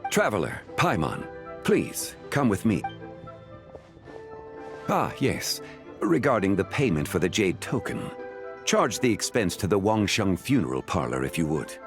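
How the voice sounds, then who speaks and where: Deep-voiced refined scholarly gentleman. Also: very animated, over music, full character voice. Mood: courteous, composed. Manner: formal, courteous, measured. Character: refined, composed, scholarly male gentleman consultant. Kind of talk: video game acting.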